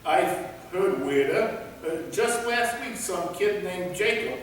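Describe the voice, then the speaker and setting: In a distant, echoing hall, a man acts out an inquisitive guard in a comic stage play. His delivery is slow and halting, with lively swings in pitch as he stalls for time with questions. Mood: curious, stalling. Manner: halting, inquisitive, hesitant phrasing. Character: captured male guard stalling vampires with curious questions. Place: large reverberant indoor performance space. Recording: echoey hall from far off, noisy.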